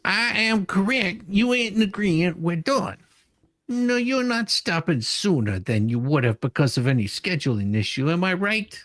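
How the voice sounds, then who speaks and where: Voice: mid-range voice, sing-song, moderate pace, a bit bright, no echo, clean studio sound. A performer reading the part of a pushy, testy male lawyer who argues insistently with a witness. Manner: insistent, argumentative. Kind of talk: dramatic reading of a deposition transcript.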